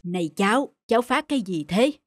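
A woman voicing a gentle, affectionate, patient old grandfather in a cartoon. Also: medium pitch, expressive, a little fast, dry recording, natural voice. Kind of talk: cartoon voice acting. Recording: music playing behind the voice.

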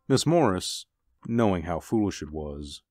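Guy doing eerie radio drama narrator, really deep, very animated delivery. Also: unhurried, soft background music. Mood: ominous. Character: narrator of an eerie suspense tale.

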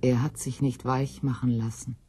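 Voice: mid-range voice, level tone, moderate pace, mellow, faint music behind. A person giving a reflective personal reminiscence in plain, sober, short sentences.

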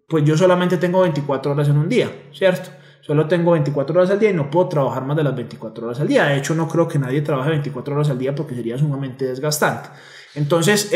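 A man's voice: on the high side, roomy sound. A man in his thirties explaining money to camera in an emphatic, explanatory way, often checking in with rhetorical questions, at a desk microphone in a home office. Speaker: investor and community director. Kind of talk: direct-to-camera finance lesson. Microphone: desk microphone in front.

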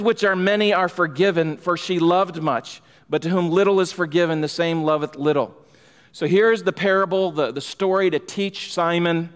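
A middle-aged male pastor preaches from the pulpit, his voice a bit higher than most men's. He talks emphatically and builds in fervor. The sound carries a long reverb through a large church hall. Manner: emphatic, rising in fervor, gestures while preaching. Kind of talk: expository sermon. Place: church sanctuary, large room indoors. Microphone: pulpit microphone.

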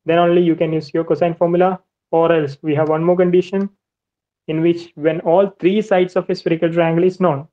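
Methodical navigation teacher, flat delivery. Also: medium pitch, fairly quick, lo-fi sound. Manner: methodical, step-by-step explaining with much repetition. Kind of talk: screen-recorded whiteboard lesson.

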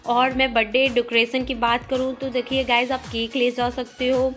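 A woman's voice: on the high side, flat delivery, normal speed, fairly bright tone, soft background music. A young woman reviewing a restaurant in a chatty voice-over, mixing English words into her Hindi. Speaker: food vlogger.

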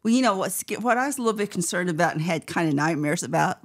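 Lively low-pitched woman, chatty co-host. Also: fast. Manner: chatty, laughs often. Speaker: podcast co-host. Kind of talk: casual chat.

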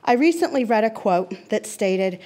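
A middle-aged woman, a teacher, reads a prepared public comment with measured emphasis at a microphone in a large board meeting room. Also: medium pitch, lively intonation, a little mellow, a little hiss or hum. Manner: earnest, measured, emphatic lists. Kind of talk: reading a prepared statement at a podium. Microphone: seated at a desk mic.

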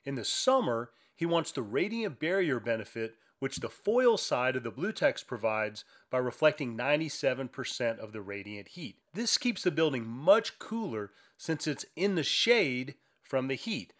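A man's voice: mid-range voice, very animated, normal speed, hardly any pauses. A man giving an upbeat, explanatory voice-over for a product video. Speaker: company presenter.

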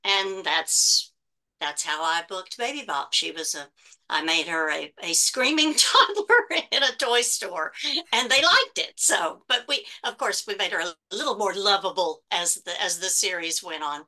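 Texan woman, middle-aged, bright voice, chatty storyteller, video call. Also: mid-range voice, unhurried. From Texas, USA. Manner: chatty, animated, laughs easily. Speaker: guest actress. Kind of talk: casual interview storytelling.

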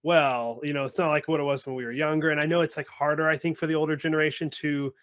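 A young man hosting a podcast over a video call, chatting casually and telling stories with lively acted-out voices. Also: on the high side, fast. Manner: animated storyteller, acts out voices in anecdotes. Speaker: podcast host. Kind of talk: casual interview chat. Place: home or office, video call.

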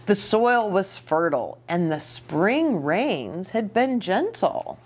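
A middle-aged woman reading a picture book aloud to young children, animated, with chatty asides and questions for her listeners. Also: medium pitch, takes it slowly, slight background noise. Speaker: children's ministry storytime host. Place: small indoor reading nook with bookshelf and curtains.

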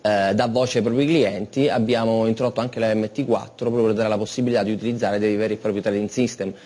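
A young man in a TV studio answers the host's questions about his company. He talks eagerly, restarting phrases and filling pauses. His voice is an ordinary mid pitch. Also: steady tone, mellow. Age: young adult. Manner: eager, many restarts and fillers. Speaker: company representative guest.

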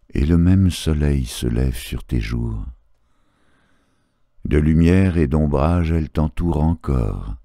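A man reciting poetry in a solemn literary style, measured and phrase by phrase, with pauses. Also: rumbling low, mellow, no echo, clean studio sound. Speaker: poetry reader.

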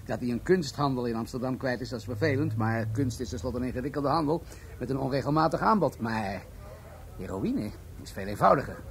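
An actor playing a shrewd, composed police commissioner in a radio play, explaining in a measured way. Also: male voice, medium pitch, expressive, vocal fry, a little hiss or hum. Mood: dry, assured.

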